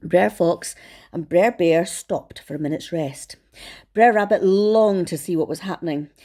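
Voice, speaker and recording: A woman reading to children as a storyteller, expressive and affectionate in how she addresses young listeners. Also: fairly low pitch, measured pace.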